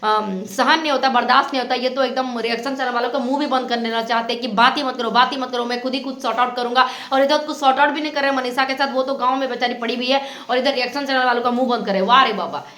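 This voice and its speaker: A woman's voice: a little high, steady tone, fast, hardly any pauses, fairly bright tone, roomy sound. A woman giving opinionated reaction commentary as a channel host, reproachful and insistent, often repeating her points.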